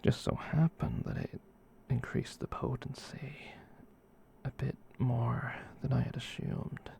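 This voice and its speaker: A performer voicing a gentle, comforting giant catboy in a soft, halting ASMR roleplay whisper. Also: medium pitch, steady tone. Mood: reflective, reassuring. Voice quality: soft breathy whisper with creaky edge. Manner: soothing, halting rambles with cutesy verbal tic.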